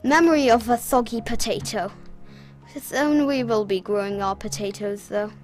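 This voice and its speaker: A young woman from Britain talks her way through a survival game in a loose, rambling commentary. Her voice sits a little high, and she drifts into self-mocking jokes. Soft music plays underneath. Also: normal speed. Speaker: gaming channel host. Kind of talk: casual gameplay commentary.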